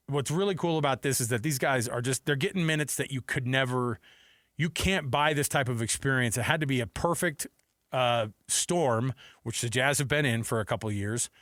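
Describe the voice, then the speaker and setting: A man's voice: a little high, a bit bright, dry recording, clean studio sound. Emphatic American man hosting sports radio. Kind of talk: opinionated sports talk commentary. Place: radio studio.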